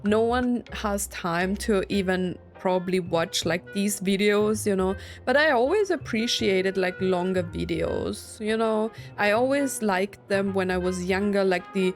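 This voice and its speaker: A woman's voice: medium pitch, measured pace, few pauses, faint music behind. A young woman giving casual, informal Let's Play commentary on a story game, reacting as she goes. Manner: reads game dialogue aloud and reacts informally. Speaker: gaming video host playing a story game.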